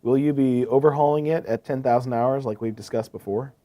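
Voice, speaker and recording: A man's voice: medium pitch, fairly quick, mellow, a little hiss or hum, lightly acted. A man playing a courteous, attentive equipment salesman in a scripted business phone call, asking probing questions. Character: courteous, eager male heavy-equipment salesman.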